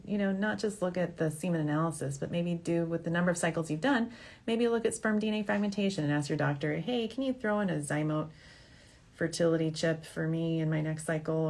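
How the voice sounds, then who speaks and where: A woman's voice: on the low side, fast, a little mellow. A woman doctor hosting an informal question-and-answer show, speaking in a frank, conversational and reassuring way. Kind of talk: informal advice talk, answering viewer questions.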